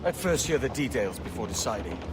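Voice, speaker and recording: A man voices a roguish Welsh pirate captain in a video game, at an ordinary male pitch. He is dry, blunt and independent, talking casually. Music is clearly audible under his words. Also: expressive, brisk, natural voice. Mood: guarded.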